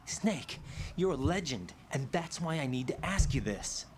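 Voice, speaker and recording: Brief: a tense, questioning young game soldier, animated delivery. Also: male voice, a little high, measured pace, background noise.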